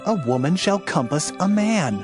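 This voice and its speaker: Higher-pitched solemn male scripture narrator. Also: animated, normal speed. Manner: solemn, declamatory, formal. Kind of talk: dramatized audiobook scripture reading. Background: music.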